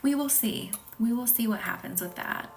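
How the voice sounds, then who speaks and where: Soft whispery woman, distant, music behind. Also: on the high side, normal speed, gravelly. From American South. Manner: soft, often whispery, hesitant with many fillers. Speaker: reiki healer hosting a live session. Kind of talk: live-stream energy healing with viewer chat. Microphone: phone live stream.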